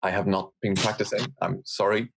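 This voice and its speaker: A man with a non-American accent recounting things casually and haltingly as a podcast guest. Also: on the low side, little pitch movement, gravelly, fairly bright tone, a little hiss or hum.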